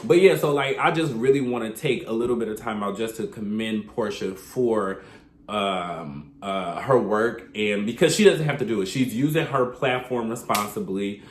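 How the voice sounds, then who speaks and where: A man's voice: normal speed, slight background noise. Stuffy-nosed man chatting in an echoey room. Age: adult, past his thirties. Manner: chatty, opinionated, animated with sudden high exclamations. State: congested from a cold. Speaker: reality TV recap vlogger. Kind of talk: casual recap commentary.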